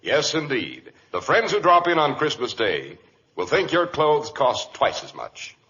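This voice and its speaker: Bright, animated American 1940s radio announcer, male. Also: a little high, unhurried. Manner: polished, emphatic salesmanship. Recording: muffled vintage radio recording.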